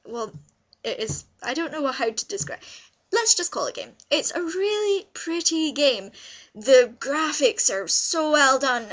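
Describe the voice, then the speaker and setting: A woman's voice: high-pitched, fairly bright tone. A young woman giving a casual, unscripted video review in a rambling, gushing way, repeating words for emphasis. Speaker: vlogger reviewing visual novels.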